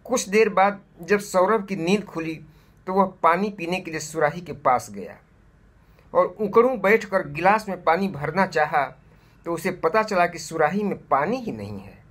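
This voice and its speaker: A man reading a scripted moral story for children in a measured, deliberate way. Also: high voice, expressive, fairly bright tone, slightly rough.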